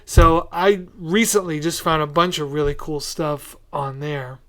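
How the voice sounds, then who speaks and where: A man's voice: on the high side, steady tone, unhurried, fairly bright tone. A man hosting a podcast, chatting casually with a guest in an interview style. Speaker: podcast host.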